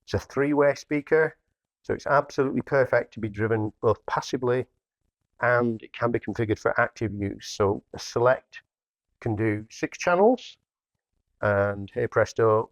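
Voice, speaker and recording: A man's voice: medium pitch, no echo. Slightly muffled man presenting an unboxing. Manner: plain-spoken, explains each step as he works. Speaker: hi-fi dealer presenting a product. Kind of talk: casual unboxing demonstration. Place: indoor hi-fi showroom.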